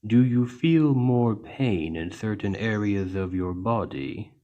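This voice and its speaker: A man voicing a towering, gentle orc healer who speaks patiently and reassuringly in an ASMR roleplay. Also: fairly low pitch, expressive, muffled recording. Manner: slow, gentle, reassuring, deliberate. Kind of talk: ASMR roleplay spoken to the listener.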